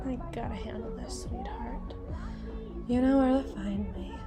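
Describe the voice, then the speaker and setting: A woman's voice: a little high, measured pace, music in the background, lightly acted. A woman performing an ASMR roleplay as a drunken, teasing, domineering female boss, rambling and stumbling over her words. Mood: amused, boastful.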